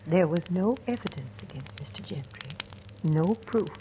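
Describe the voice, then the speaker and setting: Casting: female actor as mature household cook, once a teacher, measured and quietly knowing, lowish voice, noisy vintage radio recording. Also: lively intonation, natural voice. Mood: grave. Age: middle-aged or older. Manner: measured, gentle, grave. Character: kindly older woman cook, former schoolteacher, quietly knowing. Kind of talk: 1940s radio drama acting.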